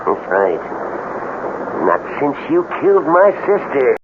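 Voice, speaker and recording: A taunting country bully from a vintage radio drama. He needles in a somewhat high male voice with a Southern drawl, nursing a grudge. The sound is boxed-in and phone-like. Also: very animated, slow pace, full character voice. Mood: vengeful taunting. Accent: American Southern. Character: taunting, vengeful backwoods bully. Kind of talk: old-time radio drama acting. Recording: thin, faintly noisy old radio broadcast.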